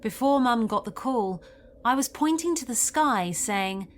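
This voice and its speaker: A woman's voice: fairly high pitch, lively intonation, unhurried. Woman narrating eerie tales over ambient noise. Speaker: story narrator. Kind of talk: scripted paranormal story narration.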